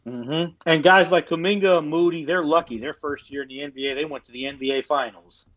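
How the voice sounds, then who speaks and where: A man co-hosting a podcast in a laid-back sports chat. His voice sits a touch high, and the recording sounds a bit dull and muffled. He talks conversationally, dropping in lots of "you know"s. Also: brisk, a bit bright. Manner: conversational, backs up points with frequent "mm-hmm"s and "you know"s. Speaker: podcast co-host.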